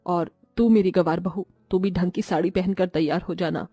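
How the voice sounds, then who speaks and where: A woman voicing a snobbish, sharp-tongued middle-aged mother-in-law in a cartoon story, with a sarcastic, scolding manner. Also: lowish voice, steady tone, normal speed, slightly rough, no echo, soft background music. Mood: scornful, bossy. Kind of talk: Hindi cartoon moral-story voice acting.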